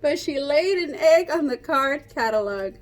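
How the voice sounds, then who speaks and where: A woman reading a picture book aloud like a teacher, pausing to explain and ask young children questions. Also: high voice, lively intonation. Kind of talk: interactive children's read-aloud.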